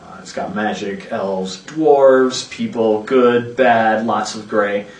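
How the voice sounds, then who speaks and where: A man chatting behind the scenes about a fantasy setting, halting and repeating words as he thinks. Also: on the low side. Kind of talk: behind-the-scenes casual chat. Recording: echoey room, distant mic.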